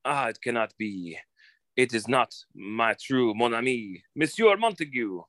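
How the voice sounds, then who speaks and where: A flamboyant, gushing poser speaks in a theatrical French accent. The style is hammy 1940s radio detective comedy, at a middling male pitch with very little room sound. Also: lively intonation, a little slow, a bit bright, no room sound, clean studio sound. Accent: put-on French.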